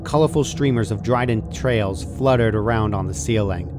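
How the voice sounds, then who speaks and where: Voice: mid-range voice, normal speed, noisy background. A narrator reading a scripted horror fan fiction story aloud.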